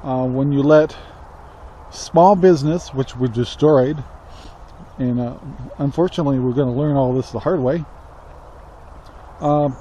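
Mellow older American man, casual and halting. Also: medium pitch. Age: 60s. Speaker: country living vlogger. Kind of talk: casual talk to camera. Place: outdoors on a rural yard beside a metal barn. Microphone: camera at arm's length. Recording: faint outdoor ambient noise.

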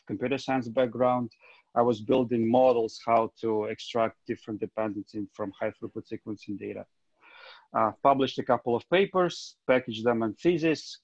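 A man's voice: medium pitch, no room sound. Man from Ukraine on a dull call. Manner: loose, filler-heavy, self-deprecating. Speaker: tech coordinator. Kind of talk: casual video call intro. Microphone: video call. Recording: dull dry call audio.

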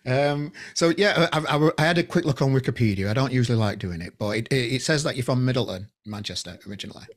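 Chatty man hosting a video-call podcast. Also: medium pitch. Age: 40s. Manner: chatty, halting, many restarts. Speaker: podcast host. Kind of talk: casual interview chat. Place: home room indoors. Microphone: headset with boom mic, video call.

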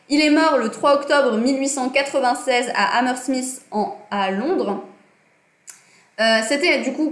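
A woman's voice: high voice, fairly bright tone, reverberant. A young woman hosting a casual livestream lesson, chatty and full of fillers and self-corrections, talking at her desk in a small room. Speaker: livestream host. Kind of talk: casual livestream talk on design and politics.

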